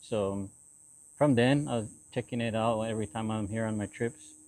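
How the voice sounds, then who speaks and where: Man in his forties from Hawaii, halting delivery. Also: on the low side, a little mellow. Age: 40s. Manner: halting, repeats phrases and affirmations. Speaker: interview guest, lowrider builder. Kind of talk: casual conversational interview.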